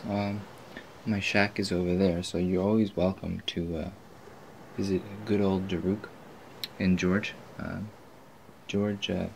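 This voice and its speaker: A man performing a casual ASMR roleplay as a laid-back island beach drinker, rambling and halting as he chats. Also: deep voice, flat delivery, creaky, a little mellow, slight background noise, rough recording.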